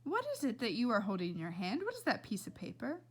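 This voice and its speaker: A woman voicing a bookish, earnest young boy who speaks gently, with formal precision. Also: a little high, wildly swooping pitch, quick pace, slightly rough, full character voice. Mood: curious.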